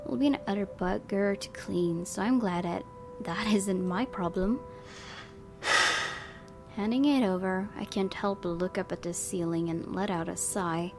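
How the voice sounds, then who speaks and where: A woman's voice: medium pitch, unhurried. Woman reading a visual novel aloud, expressively. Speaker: gaming video host. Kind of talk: dramatic read-aloud of visual novel narration. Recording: music behind the voice.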